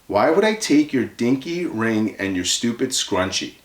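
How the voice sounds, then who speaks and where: A blunt, sour young girl from a children's storybook, acted out by a man. The lines jump around in pitch with an accusing edge. The room adds a noticeable echo. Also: very animated, a little slow. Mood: puzzled. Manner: indignant, blunt.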